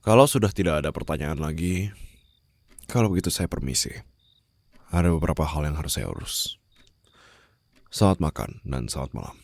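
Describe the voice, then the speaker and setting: Man acting as a very deep, gravelly mafia boss. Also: expressive, a little fast, pauses often, darkish tone, dry recording, full character voice. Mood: smugly mocking. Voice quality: very low, gravelly, husky. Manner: formal, condescending, controlled, mockingly sweet. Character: cold, menacing male mafia boss taunting his captive. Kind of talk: ASMR roleplay acting, addressed to the listener. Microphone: close studio-style microphone.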